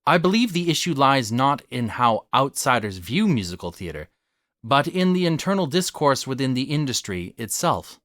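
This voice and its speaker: A man delivers a scripted essay with lively, varied intonation. His voice sits at a middling pitch and is recorded close and dry. The delivery is formal and articulate, laying out each argument in an expository way. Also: normal speed, no room sound.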